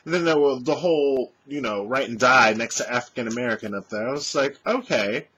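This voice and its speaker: Vlogger in his thirties, animated storyteller with a slightly husky voice, talking straight into a webcam at home. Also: mid-range voice, fairly quick, rough recording. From California. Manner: animated, gestures a lot, swears freely. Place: small room at home, indoors. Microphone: webcam at desk distance.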